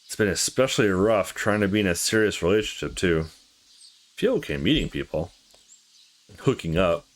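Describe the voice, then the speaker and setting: A man voicing a troubled, candid young visual novel character who confides past heartbreak in a halting, confessional way. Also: low voice, animated. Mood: subdued, candid.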